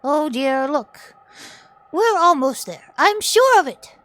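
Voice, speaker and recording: A woman voicing an eager teddy bear companion in a game, coaxing and reassuring in drawn-out theatrical phrases. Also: high-pitched, very animated, a bit bright, exaggerated voice. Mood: cheerful. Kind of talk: video game character dialogue.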